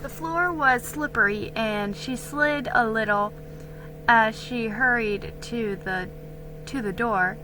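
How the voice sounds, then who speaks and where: A woman giving an amateur dramatic storybook reading, deliberate and a little halting, with stumbles. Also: on the high side, background noise.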